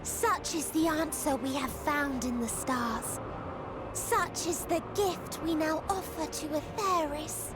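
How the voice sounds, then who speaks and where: High, slow, sorrowful alien female. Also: poor audio. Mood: solemn, ominous. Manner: solemn, slow, lyrical declaiming. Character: sorrowful female alien singer of despair, hive-minded. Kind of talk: dramatic video game acting. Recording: music behind the voice.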